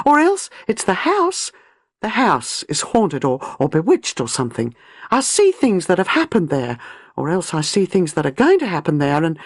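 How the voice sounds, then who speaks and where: A woman's voice: mid-range voice, no room sound, studio quality, pitched above the performer's own voice. A woman reading an audiobook, voicing a frightened, distraught young woman who talks in breathless, pleading bursts. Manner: breathless, pleading, rising panic.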